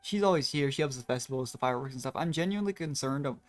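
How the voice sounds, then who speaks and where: A game streamer chats casually while playing, musing aloud. The voice sits at a medium pitch. Faint music plays behind. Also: lively intonation, very fast, dry recording.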